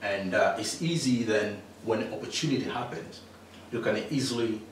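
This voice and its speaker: A middle-aged man from Tanzania speaks in a lowish voice, pausing often on fillers and restarting his sentences. He sits some way from the microphone in a very echoey room. A faint hiss runs under his voice. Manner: hesitant, many fillers and restarts. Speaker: interview guest, mining engineer. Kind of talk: casual interview answers. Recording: echoey room, distant mic, faint noise.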